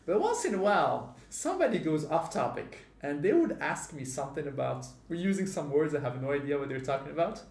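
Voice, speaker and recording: A podcast host from Morocco with a gravelly, fry-tinged voice. He chats casually and gets animated as his stories build. The room around him rings with a noticeable echo. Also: fairly quick, few pauses. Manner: animated, grows lively and high when telling stories. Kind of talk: casual conversational storytelling. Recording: roomy echo.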